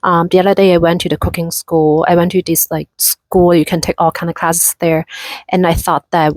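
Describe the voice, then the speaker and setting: A woman's voice: fairly low pitch, flat delivery, normal speed, darkish tone, dry recording. A woman in her thirties from Hong Kong with a Hong Kong accent, chatting casually in a vlog with many fillers and restarts. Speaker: vlogger.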